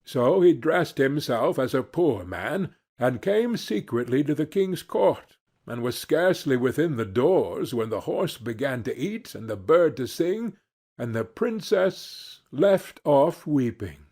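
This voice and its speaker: A man narrating a fairy tale from a script in a measured, deliberate storytelling manner. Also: mid-range voice, very animated, darkish tone, husky.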